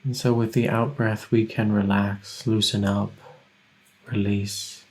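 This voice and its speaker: A man guides a meditation in a fairly low voice. His intonation stays very flat and steady. He gives gentle, unhurried instructions and leaves long pauses between phrases. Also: poor audio. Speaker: meditation teacher.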